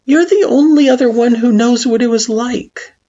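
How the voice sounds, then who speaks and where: A woman voicing an earnest young hero who speaks in a soft, sincere way. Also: on the high side, moderate pace, dull audio, pitched above the performer's own voice, natural voice.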